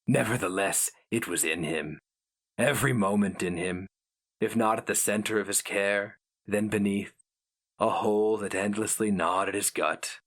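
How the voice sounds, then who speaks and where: A man narrating a fantasy story as a dramatic reading, grave, measured and theatrical. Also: fairly low pitch, fairly bright tone. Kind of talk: dramatic scripted fiction narration.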